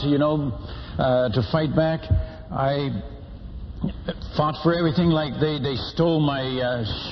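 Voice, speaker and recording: A man's voice: a little high, a little hiss or hum. An older man from the Netherlands, a missionary, telling his stories to a church audience in a folksy, humorous way, full of restarts and fillers. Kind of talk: storytelling talk to a church audience. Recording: muffled hall recording with long reverb.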